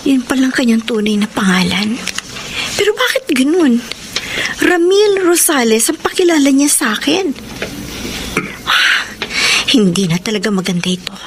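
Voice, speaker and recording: A woman's voice: on the high side, sing-song, measured pace, pauses often, background noise. An actress playing an anxious, suspicious woman in a Filipino radio drama. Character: anxious, suspicious woman searching for her missing lover. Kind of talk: Filipino radio drama acting with whispered inner monologue.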